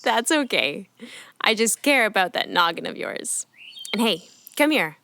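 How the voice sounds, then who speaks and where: A woman's voice: medium pitch, big pitch swings, measured pace, creaky, slight background noise, heavily acted. A woman playing a caring, fussy mother who teases her young daughter in a children's audio drama. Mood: fussing. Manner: warm, fussing, playfully teasing.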